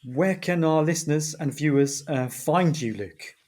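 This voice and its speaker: A man's voice: fairly high pitch, measured pace, a little mellow. A man co-hosting a podcast, talking in a casual, conversational style. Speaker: podcast co-host and writer.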